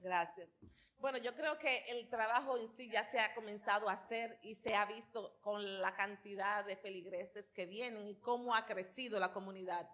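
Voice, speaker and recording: A woman's voice: medium pitch, moderate pace, a bit bright. A middle-aged woman with a Dominican accent, a town mayor speaking to a church congregation as a guest. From Dominican Republic. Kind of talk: public address to a congregation. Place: large church auditorium stage. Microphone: handheld microphone.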